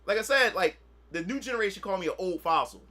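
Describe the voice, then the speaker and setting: High, bright-voiced rambling man in his thirties. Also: animated, quick pace. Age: 30s. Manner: rambling, repetitive, often asks "you know what I'm saying". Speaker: gaming streamer. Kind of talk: casual livestream chat.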